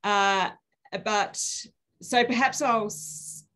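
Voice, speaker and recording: A woman's voice: mid-range voice, steady tone, drawn-out words, a bit bright. A woman in her thirties with an Australian accent, hosting a webinar question session in a casual, rambling way. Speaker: webinar host. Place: room at home. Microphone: video call webcam.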